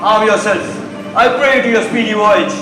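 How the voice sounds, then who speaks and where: A man's voice: high voice, normal speed, lightly acted. A man acting an uneasy, scheming royal figure in formal Shakespearean stage declamation. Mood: uneasy, commanding. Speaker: amateur stage actor. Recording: very echoey stage with music.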